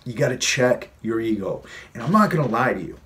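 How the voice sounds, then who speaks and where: Vlog host, male, late thirties, mid-pitched, earnest and chatty, repeats points to drive them home. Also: expressive, a little fast, a bit bright. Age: 30s. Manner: earnest, conversational, repeats phrases for emphasis. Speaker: fitness coach and vlog host. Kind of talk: casual advice vlog.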